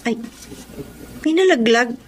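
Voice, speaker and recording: A woman's voice: on the high side, expressive, slow, noisy background. An actress playing a worried young wife and mother, speaking in a pleading, anxious way. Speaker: radio drama actress. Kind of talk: radio drama acting.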